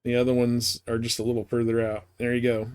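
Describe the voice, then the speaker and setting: A man streaming a game live, chatting casually and thinking out loud in a rambling way. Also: mid-range voice, monotone, fast talker, smooth. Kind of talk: casual gameplay chat.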